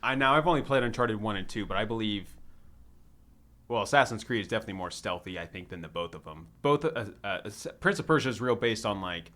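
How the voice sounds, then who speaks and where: A man's voice: on the low side, lively intonation, fast talker, a bit bright. A man hosting a casual movie review chat, talking in a rambling way and swearing freely. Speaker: podcast host.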